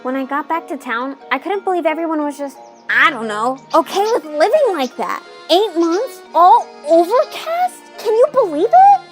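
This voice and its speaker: Woman playing bubbly, very high-voiced young townswoman. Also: very animated, moderate pace, soft background music. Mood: excited. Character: bubbly, chatty young townswoman in a fantasy adventure. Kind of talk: fantasy audio drama roleplay.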